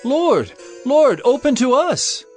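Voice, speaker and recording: Male actor as desperate pleading maidens, high urgent voice, scripture drama with music behind. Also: big pitch swings, slow pace, a little mellow, exaggerated voice. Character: foolish virgins, women pleading at a shut door.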